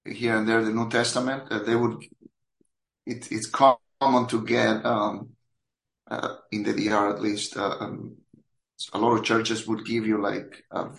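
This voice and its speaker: Male podcast guest, 50s, native Spanish speaker with Dominican accent. Lowish, gravelly, halting delivery, roomy echoey sound. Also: flat delivery, fairly bright tone. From Dominican Republic. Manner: hesitant, halting. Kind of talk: casual personal storytelling.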